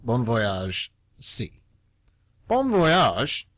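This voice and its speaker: A man's voice: mid-range voice, wildly swooping pitch, very slow, a bit bright, no room sound, full character voice. A man playing an exasperated, heartbroken traveller in an amateur radio play, irritable, blunt and incredulous. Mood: wounded, incredulous. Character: exasperated, heartbroken male traveller snapping at a stranger.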